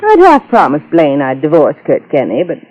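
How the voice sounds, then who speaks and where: An actress playing a wealthy, coy socialite of about fifty in an old-time radio detective drama, languid and evasive. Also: female voice, sits low, huge pitch swings, darkish tone, dull audio. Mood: coy, teasing. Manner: languid, coy, drawn-out delivery.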